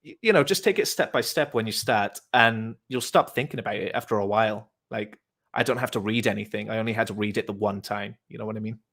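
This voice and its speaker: A British man with a fairly low voice chats informally, like a host giving advice. He is friendly and encouraging, persuading in an easygoing, casual style. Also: fast talker, creaky, a bit bright. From Wales. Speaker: host, vegan activist. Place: online voice chat.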